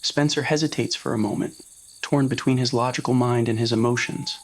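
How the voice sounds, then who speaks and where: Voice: medium pitch, steady tone, a little fast. A narrator reading a scripted romantic roleplay story in the second person, voicing the scene as well as the dialogue. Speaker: narrator of fan roleplay audio stories.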